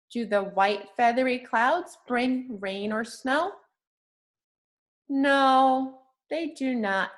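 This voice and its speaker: Slow-paced woman reading aloud to young children. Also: mid-range voice, a bit bright. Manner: simple, encouraging, patient teacher talk. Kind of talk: interactive children's read-aloud with questions and praise.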